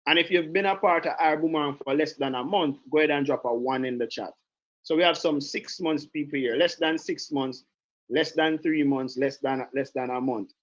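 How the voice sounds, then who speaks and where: Brief: Jamaican man, fairly high voice, rambling trainer on a muffled call. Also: fairly bright tone. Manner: chatty, repeats himself, goes off on tangents. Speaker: sales team leader giving a training. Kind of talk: casual online training call.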